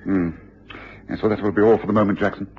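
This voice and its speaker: A man's voice: fairly low pitch, steady tone, fast talker, a little hiss or hum, natural voice. An actor playing an observant male detective in a radio drama, thinking aloud with musing hesitations as he examines and probes. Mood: probing. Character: brisk, observant male detective, absorbed and probing. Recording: muffled radio drama recording.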